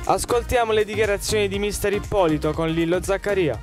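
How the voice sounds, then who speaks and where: A man with a distinctly high voice delivers scripted sports highlights commentary. Loud hip hop music plays throughout behind his narration. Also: brisk. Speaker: match commentator.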